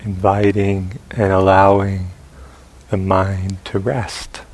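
A man leading a guided meditation as a teacher, speaking in sparse short phrases with long pauses between them. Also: deep voice, flat delivery, darkish tone. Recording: faint hiss and background noise.

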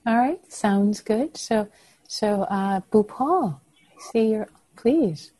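A woman whose first language is Persian, leading a meditation group discussion over a video call in a soft, nurturing way with frequent affirmations. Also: mid-range voice, animated, takes it slowly, breathy edge, no echo, poor audio. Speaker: meditation teacher.

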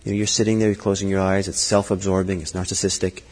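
A middle-aged man giving a Buddhist dharma talk, thoughtful and halting, with frequent fillers and restarts. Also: sits low, flat delivery, a little mellow. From Norway. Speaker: Buddhist teacher. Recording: long hall reverb.

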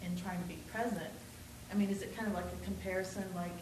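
A woman's voice: mid-range voice, fairly even intonation, quick pace, echoey room, background noise. A woman student in a meditation class asking a long, rambling question, searching for her words and restarting often. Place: meditation center hall.

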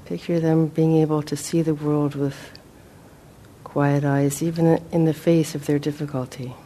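A woman leading a guided meditation as a teacher, speaking gently and in a measured way with long pauses. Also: low-pitched, little pitch movement, slight background noise.

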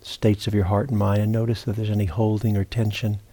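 Low, mellow man guiding meditation haltingly. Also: level tone, husky. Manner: halting, unhurried, with long pauses and repeated words. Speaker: meditation teacher. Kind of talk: guided meditation instruction. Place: meditation retreat center.

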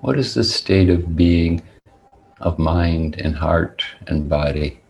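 A man teaching meditation, speaking in a deliberate, measured way with long pauses as he guides listeners. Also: sits low, gravelly, a little mellow.

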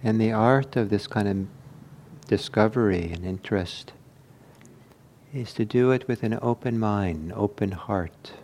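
Slow-paced man guiding a meditation. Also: fairly low pitch, darkish tone. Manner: measured, with long pauses between short phrases. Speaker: meditation teacher. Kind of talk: guided meditation instruction.

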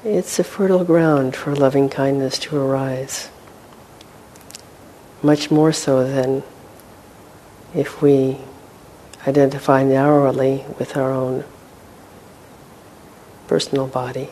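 Slow, mellow woman guiding meditation. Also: steady tone, poor audio. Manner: gentle, unhurried, with long pauses. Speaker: meditation teacher. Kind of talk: guided meditation. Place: meditation hall with a group. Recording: faint rumbling background noise.

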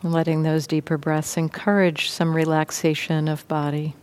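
A woman teaching meditation, guiding a sitting practice in a gentle, unhurried way with long pauses. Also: low-pitched, level tone, clean tone. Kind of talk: guided meditation instruction.

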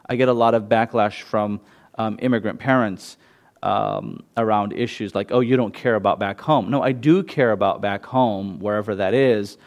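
A man, an imam, giving an informal talk to an audience at a meditation center, loose and conversational, with frequent fillers and restarts. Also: on the low side. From United States.

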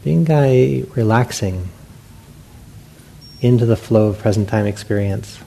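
Male meditation teacher, dark relaxed tone with gravelly fry, speaking gently in spaced-out phrases. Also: lowish voice. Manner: unhurried, gentle guidance with long pauses. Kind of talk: guided meditation instruction to a group. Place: meditation hall with a group. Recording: faint background noise.

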